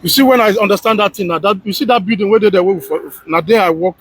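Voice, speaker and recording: Casting: Nigerian man, high rough-edged voice, insistent and repetitive in informal, argumentative banter. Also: fast, no echo. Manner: insistent, repeats himself. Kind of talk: casual, argumentative chat in Nigerian Pidgin and English.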